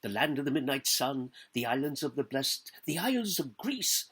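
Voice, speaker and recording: Male literary reader: husky, highly animated intonation, declamatory and deliberate, phrase by phrase. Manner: declamatory, deliberate, dwelling on lists and pausing between phrases. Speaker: actor giving a public literary reading. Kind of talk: theatrical reading aloud of literary prose.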